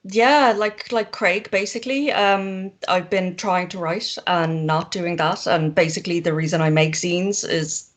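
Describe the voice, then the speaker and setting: A woman's voice: lowish voice, steady tone, measured pace, hardly any pauses. An Irish woman chatting as a guest, rambling and self-deprecating, laughing often between thoughts. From Ireland. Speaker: guest zine maker. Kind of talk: casual video-call interview.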